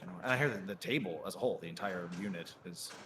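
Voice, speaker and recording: A man in his thirties calls table soccer in a relaxed, chatty play-by-play. His voice sits low. Steady crowd noise from the tournament hall can be heard behind him. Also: racing. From Connecticut, US. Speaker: commentator. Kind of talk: live table soccer commentary. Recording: live venue noise behind.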